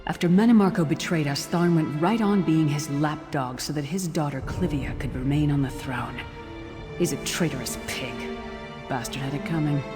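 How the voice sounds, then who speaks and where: A blunt, loyal fantasy-game warrior woman, acted by a woman, earnest and plainspoken. Her voice carries a long reverb with clear music underneath. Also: low-pitched, close to an everyday voice.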